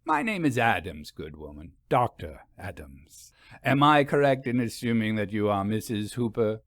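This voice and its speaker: A man's voice: on the low side, big pitch swings, moderate pace, dry recording, studio quality. A man voicing a courteous, polished professor with an English accent in a storybook reading. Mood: serene, reassuring.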